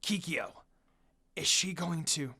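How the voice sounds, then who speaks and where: A man's voice: a little high, takes it slowly, breathy edge, heavily acted. A man voicing a brash, confused young half-demon with a hot temper in a fantasy story reading. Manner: loud, impulsive.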